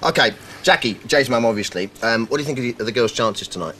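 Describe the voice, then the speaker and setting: A man presenting a comedy TV show, jokey and mock-serious in a deadpan way. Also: mid-range voice, fast, over a noisy crowd.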